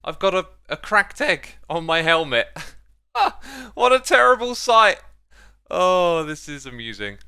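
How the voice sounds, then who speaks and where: A man with a slightly high, bright voice that is very clean and smooth, recorded dry and close. He chats casually as he hosts a gaming video, dropping in playful exclamations. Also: no room sound. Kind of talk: casual gameplay commentary.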